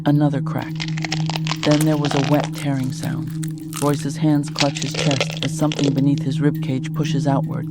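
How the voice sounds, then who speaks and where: Higher-pitched man narrating dramatic horror. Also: flat delivery, normal speed, creaky, very noisy.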